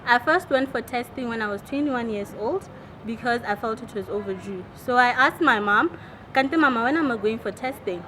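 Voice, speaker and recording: A woman in her twenties from Botswana, telling her story in an animated way. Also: fairly high pitch, moderate pace, a little hiss or hum, poor audio. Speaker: interviewee. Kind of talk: personal testimony.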